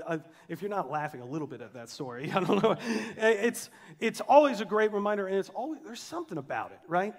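Higher-voiced male preacher, informal and wry. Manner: informal, wry joking asides. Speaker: pastor, guest preacher. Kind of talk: sermon to a live congregation. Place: church auditorium stage, indoors.

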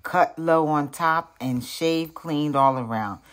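An older woman, a retired teacher, reading a children's picture book aloud in an expressive, deliberate storytelling manner. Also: sits low.

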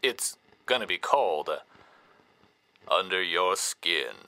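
A folksy country singer, bashful and halting on an old static-laced radio. His lowish voice moves around a lot and cuts through brightly at an unhurried pace. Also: lively intonation, no echo, exaggerated voice. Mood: bashful, pleased. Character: easygoing vampire country singer interviewed on radio. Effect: old radio broadcast with static. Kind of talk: 1950s radio interview acting.

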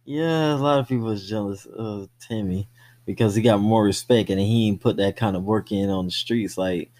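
A man's voice: on the low side, normal speed, a little mellow. A man co-hosting a movie podcast, talking casually in slang and swearing freely. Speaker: podcast co-host.

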